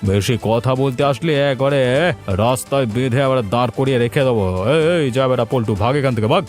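A man's voice: medium pitch, a little fast, close to an everyday voice. A man voicing a haughty, miserly rich village bully in a cartoon, contemptuous and threatening, with music behind. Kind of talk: cartoon voice acting.

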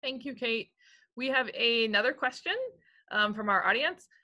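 A woman with a bright, forceful voice moderates a panel over a video call. She speaks in measured phrases with frequent ums. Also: on the high side. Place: home room, video call.

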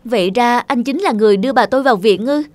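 A woman's voice: a little high, fast, dry recording, natural voice. A woman playing an anxious, earnest, grateful adult granddaughter in cartoon dialogue. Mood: surprised, grateful.

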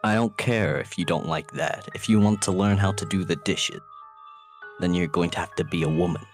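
A man voicing a menacing, domineering shadowy hedgehog villain, deadpan, in an improvised comic game dub. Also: low voice, moderate pace, close to an everyday voice. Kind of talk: improvised comedic video game fandub. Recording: clear music under the voice. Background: music.

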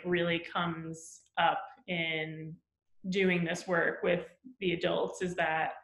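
A woman in her thirties hosts an interview over a webcam, her voice low-set and flat with little rise and fall. The room around her rings with echo. She winds through long, searching questions, pausing on ums. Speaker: podcast host, interviewer. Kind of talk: casual interview over video call. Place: room at home with plants. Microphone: webcam mic on a video call.

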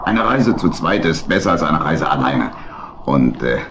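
Friendly badger boat captain in a kids audio play, super deep crackly voice, noisy old recording. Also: male voice, big pitch swings, a little slow, fairly bright tone, full character voice. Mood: friendly, calm. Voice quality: gravelly. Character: kindly, easygoing badger boat captain, an uncle. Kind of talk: German children's audio play acting.

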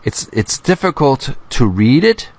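A middle-aged man hosting a video, reading aloud from a book with casual commentary in a halting, deliberate way into a close microphone. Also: mid-range voice, very animated. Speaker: video host.

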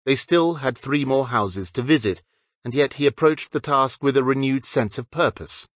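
A man's voice: mid-range voice, moderate pace, no echo. A man reading a scripted story as an audiobook narrator.

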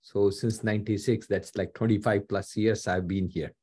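A man from India, an engineering professor, giving a brief halting introduction over a video call. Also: on the low side, steady tone, dark tone, slightly rough.

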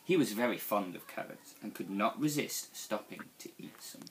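A young man reading a picture book aloud to children in an expressive, deliberate storytelling style. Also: on the low side, a little hiss or hum, poor audio. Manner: animated, deliberate storytelling delivery. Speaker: school staff member reading to young children.

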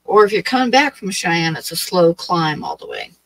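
A woman's voice: lowish voice, wildly swooping pitch, moderate pace, gravelly, no echo, poor audio. A woman in her late sixties chats loosely to her livestream viewers. Her talk wanders, with plenty of ums and restarts. The sound is dry and close, as from a webcam in a small room. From Oregon, US. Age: 60s. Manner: rambling, hesitant, many fillers. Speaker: livestream host. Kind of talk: casual chat with live chat. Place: small dim room with draped wall.

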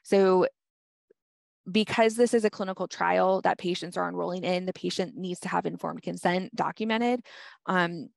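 A woman's voice: on the low side, normal speed, gravelly, no echo. A woman presenting a medical grand rounds lecture on treatment guidance, speaking practically with frequent "um" fillers. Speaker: health department presenter.

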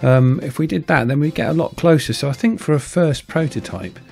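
A man's voice: a little high, brisk, creaky, darkish tone. A man casually narrating his hobby build in a modest, understated way. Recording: music behind the voice. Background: guitar music.

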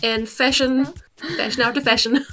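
A woman's voice: high voice, fairly even intonation, normal speed, pushed and bright, soft background music. A woman player at a tabletop game, chatting casually about what her character does.

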